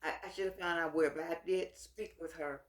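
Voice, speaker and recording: An American woman, middle-aged or older, giving unscripted opinion talk to her viewers in an earnest, exhorting manner, often repeating words haltingly. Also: lowish voice, steady tone. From United States. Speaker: vlogger addressing viewers.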